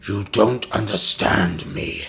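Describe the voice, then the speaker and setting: A man performs a sinister audio-drama villain with a low voice. He stretches his words out very slowly, cold and savouring, with patient menace over faint music. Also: bright, forceful tone, exaggerated voice. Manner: drawn-out, deliberate, menacing delivery. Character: sinister, slow, patient villain musing on carbon and diamonds. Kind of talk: dark audio drama monologue.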